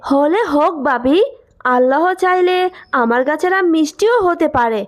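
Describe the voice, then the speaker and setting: Woman acting a kind young village mother, high voice, patient, earnest, devout, cartoon story. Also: expressive, normal speed. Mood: patient, hurt. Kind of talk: animated moral story acting.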